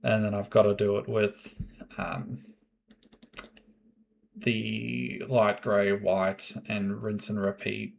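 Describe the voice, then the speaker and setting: A man hosting his own Minecraft building videos, chatting casually in a rambling, monotone way and laughing often. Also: takes it slowly, gravelly, pauses often, a little hiss or hum. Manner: monotone, fairly even pitch. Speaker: Minecraft builder and channel host. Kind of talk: casual gameplay commentary and voiceover.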